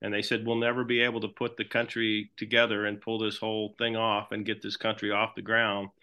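A middle-aged man, a baseball team manager, answering questions in a relaxed, rambling way and repeating words. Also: fairly low pitch, fairly quick, clear, resonant tone. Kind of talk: casual interview answers.

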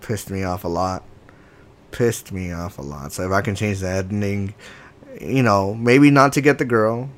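A man's voice: lowish voice, moderate pace. A man in his twenties chatting casually with friends, teasing and laughing often. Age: 20s. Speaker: podcast co-host. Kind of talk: casual chat.

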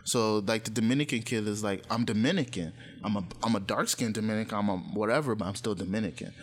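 A young man, born in Nigeria, in a loose, animated casual chat with friends, full of slang and fillers. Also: on the low side, fast.

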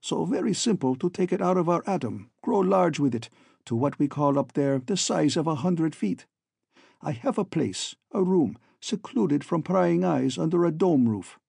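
A man's voice: fairly high pitch, expressive, moderate pace, gravelly, darkish tone, breathy edge, no room sound, clean studio sound, pitched above the performer's own voice, heavily acted. A man voicing a falsely friendly, scheming hunchback villain in a story, oily and ironic, with stilted foreign-sounding phrasing. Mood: boastful, smug.

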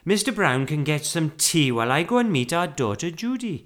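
A man's voice: fairly high pitch, sing-song, a little fast, fairly bright tone, voice pushed up. A man voicing a kindly, decisive mother in a children's storybook, emphatic and organising. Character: kindly, decisive, motherly middle-class woman.

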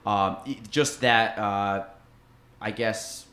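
A man's voice: lowish voice, slow pace, a bit bright. A young man hosting a podcast, chatting casually and rambling with lots of fillers. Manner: rambling, filler-heavy, jokey. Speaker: podcast host. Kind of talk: casual interview chat. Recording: echoey room.

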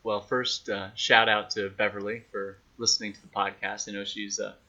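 Bright, forceful male announcer, slightly lo-fi. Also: fairly low pitch, flat delivery, moderate pace. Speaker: podcast guest, hockey radio play-by-play announcer. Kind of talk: casual interview chat. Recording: slightly dull, lo-fi audio.

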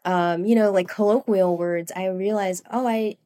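A woman's voice: medium pitch, fairly even intonation, moderate pace, dark tone. A woman guest in a casual interview, talking in a rambling way with lots of fillers and frequent laughs. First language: Cantonese. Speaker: guest, comics artist.

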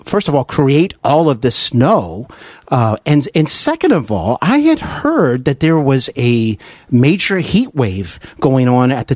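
A man's voice: medium pitch, a little mellow, no echo. A man hosting a conversational interview, speaking in an enthusiastic, emphatic way with frequent tag questions. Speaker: interviewer, show host. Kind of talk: conversational radio-style interview.

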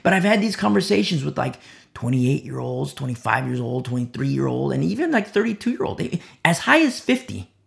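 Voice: medium pitch, very animated, moderate pace, vocal fry. A preacher delivering a sermon, with rhetorical questions and a repeated "you see?".

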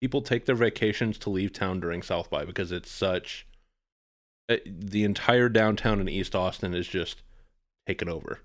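A talkative man from Texas, hosting a casual podcast chat with wry, quick asides. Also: deep voice.

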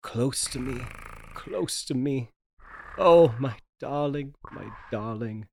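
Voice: mid-range voice, slow pace, vocal fry, a little mellow, a little hiss or hum. An actor playing a tormented, secretive husband in an old-time radio drama table read, pleading and melodramatic. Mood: desperate, tender.

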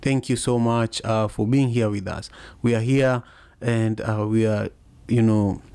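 Even-voiced man hosting a live chat. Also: on the low side, smooth, no echo. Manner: relaxed, halting, many fillers and restarts. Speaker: podcast host. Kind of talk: casual live audio-room chat.

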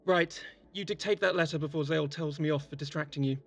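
A cheeky colleague aboard a space clinic. The delivery is breezy, joking and teasing, in audio drama style, with a mid-pitched voice over faint music. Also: fairly even intonation, fast talker, creaky. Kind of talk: sci-fi audio drama acting.

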